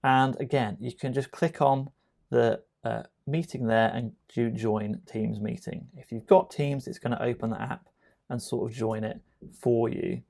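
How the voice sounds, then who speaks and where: Casting: male how-to presenter, average pitch with noticeable vocal fry, informal and explanatory delivery. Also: fairly even intonation, unhurried, a little mellow. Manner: conversational, explanatory, addresses viewer directly. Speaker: tutorial presenter. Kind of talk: informal software tutorial narration.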